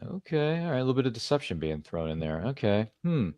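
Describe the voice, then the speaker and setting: A man's voice: mid-range voice, sing-song, fast, darkish tone, clean tone, no echo, muffled recording. A man hosting a podcast interview, chatty and enthusiastic, heaping praise on his guest. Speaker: podcast host. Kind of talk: casual interview chat.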